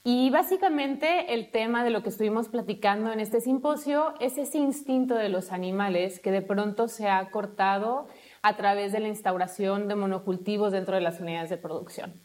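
A woman's voice: mid-range voice, moderate pace, darkish tone. A woman academic, explaining her research fluently. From Mexico. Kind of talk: presentation to camera.

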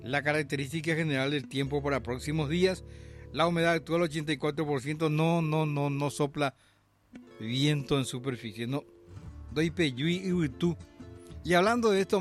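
A man hosting a radio show, reading the news in a fairly high voice and talking it through with callers. He explains things at a measured pace and often adds little tag questions. Faint music plays behind him. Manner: measured, explanatory, with many "¿verdad?" tags. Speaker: radio host.

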